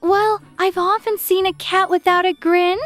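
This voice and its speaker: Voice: very high-pitched, flat delivery, a little slow, fairly bright tone, faint music behind, voice pushed up. A storyteller voicing a polite, curious, prim young girl in a dramatized audiobook. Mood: wondering.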